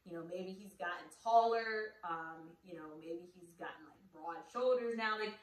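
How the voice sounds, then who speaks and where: A woman's voice: lowish voice, a bit bright. An American woman hosting a solo podcast, teaching in a casual, conversational way with fillers and rhetorical questions. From United States. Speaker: podcast host. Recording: roomy echo, somewhat far from the mic.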